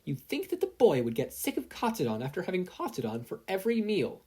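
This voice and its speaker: A fussy, doting mother with a worried streak, acted out by a story reader. The voice is pitched up and moves in big lively swoops. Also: a little fast, husky. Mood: scolding.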